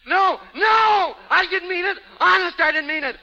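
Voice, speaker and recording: A cowardly gangster henchman in a 1930s radio play. His voice is very high, sharp and wildly swinging, frantic and pleading. The old recording is dull. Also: expressive, moderate pace, heavily acted. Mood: terrified pleading. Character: panicky, cowardly male gangster sidekick. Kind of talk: 1930s radio melodrama acting.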